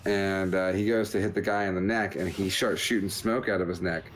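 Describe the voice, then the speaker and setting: A man's voice: low voice, fairly even intonation, a little fast. A man hosting a video game show, giving casual, rambling commentary in a joking, understated way.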